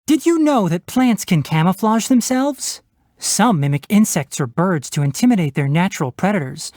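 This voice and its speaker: Young fox-eared forest ranger, game character, very animated pitch, dry wit, articulate, scholarly, close dry sound. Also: male voice, normal speed, no room sound. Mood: instructive, composed. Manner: precise, articulate, wry, matter-of-fact. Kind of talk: video game character voice lines.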